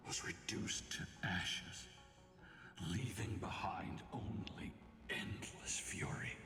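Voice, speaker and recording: Fantasy game chronicler, male: solemn, unhurried, low, heavy reverb. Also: bright, forceful tone, exaggerated voice. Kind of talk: scripted fantasy game dialogue. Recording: long echoing hall, music behind.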